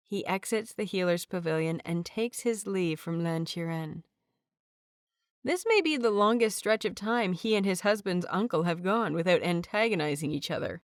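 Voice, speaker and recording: A woman narrating a fanfiction audiobook in a steady storytelling voice. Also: mid-range voice, clean tone, dry recording, studio quality.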